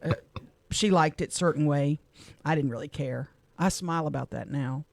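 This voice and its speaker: A middle-aged woman from Louisiana, a radio co-host, speaking softly and with emotion as she reads a personal letter on air in a studio. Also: low-pitched, normal speed, creaky. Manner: heartfelt, soft-spoken, emotional.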